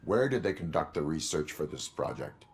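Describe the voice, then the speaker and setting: A stern cyborg police officer from a video game. The voice is low-pitched and deadpan. He speaks in terse, formal statements, stoic and dutiful. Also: normal speed, slight background noise. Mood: stern, flat. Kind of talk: video game character acting.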